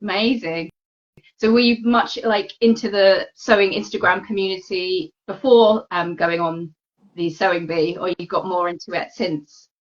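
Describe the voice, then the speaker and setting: A woman's voice: fairly low pitch, steady tone, normal speed. A woman hosting a live online chat, talking in a friendly, chatty way with many fillers over a video call. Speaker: host. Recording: dull lo-fi video call audio.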